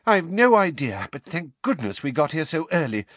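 A man's voice: on the high side, sing-song, moderate pace, fairly bright tone, no echo, voice pushed up. A man voicing an anxious middle-aged male wizard official who talks urgently and breathlessly, raising his voice in a hurry.

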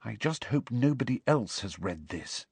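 A man's voice: medium pitch, sing-song, moderate pace, vocal fry, a little mellow, breathy edge, no echo, heavily acted. A man voicing an anxious, earnest, bookish teenage schoolgirl in an audiobook.

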